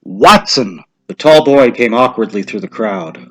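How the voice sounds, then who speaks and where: A man narrating a short story in a measured, expressive, suspenseful dramatic reading style. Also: medium pitch, poor audio.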